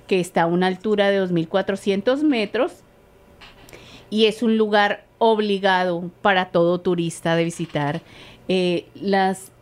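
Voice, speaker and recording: A woman's voice: medium pitch, steady tone, unhurried. A woman co-hosting a cultural program, explaining facts with frequent hesitations. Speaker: co-host. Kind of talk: presenting facts.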